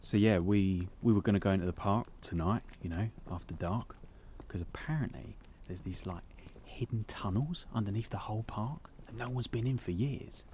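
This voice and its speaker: An actor playing a teasing but considerate young theme-park worker with a British accent, informal and conversational in an audio drama. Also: male voice, on the low side, normal speed, warm tone, slight background noise, lightly acted. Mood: sheepish, casual. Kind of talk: naturalistic audio drama acting.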